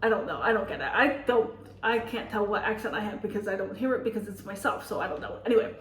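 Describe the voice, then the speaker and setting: A woman's voice: mid-range voice, quick pace, roomy sound, far from the mic. A woman with an American accent, a teacher, chatting casually to her vlog audience in a rambling way, with many fillers and restarts. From United States. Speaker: teacher and vlogger. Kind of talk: casual vlog monologue and storytelling.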